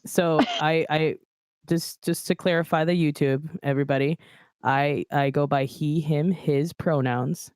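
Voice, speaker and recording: Man, high tenor, smooth clear tone, dry close sound; earnest livestream co-host. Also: steady tone, a little slow, a little mellow, dry recording. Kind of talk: casual group livestream chat.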